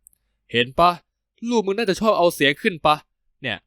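A man's voice: sits high, big pitch swings, moderate pace, bright, forceful tone, no room sound, clean studio sound, pitched above the performer's own voice, full character voice. A man voicing a tall, rich young boss who talks bluntly and crudely, with a teasing edge.

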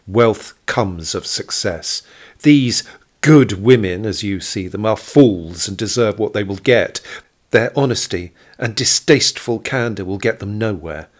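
A cold, haughty lady of wealth , as a man acts her out in a period audio drama. She speaks slowly and deliberately, full of disdain. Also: on the low side, expressive. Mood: scornful.

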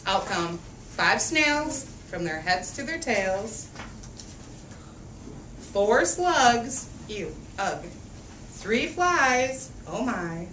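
A woman's voice: fairly high pitch, sing-song, very slow, long pauses, fairly bright tone. A woman reading a picture book aloud to children in a sing-song, emphatic way, drawing out rhymes and putting questions to her listeners. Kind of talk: animated picture-book read-aloud with call-and-response. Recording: roomy, distant mic, faint background noise.

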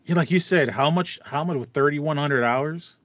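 A man's voice: a little high, normal speed, a bit bright, no echo. Man chatting, slightly muffled and dry. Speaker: podcast host. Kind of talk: casual podcast chat about gaming. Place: small room with acoustic foam, indoors. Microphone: headset on a video call. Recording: slightly dull, lo-fi online call.

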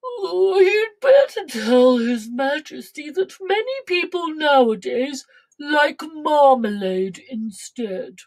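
Woman putting on a slow, drowsy storybook cow. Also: high-pitched, sing-song, pitched above the performer's own voice, exaggerated voice. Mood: sleepy, reluctant. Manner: drowsy, drawn-out delivery. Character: sleepy, reluctant Alderney cow, later apologetic and kindly. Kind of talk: children's verse storytelling.

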